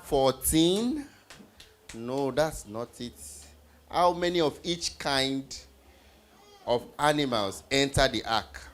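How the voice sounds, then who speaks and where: Quiz host for a children's Bible event: slow delivery, medium pitch, encouraging, repeats answers to the group. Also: lively intonation. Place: live group event with children before a stage.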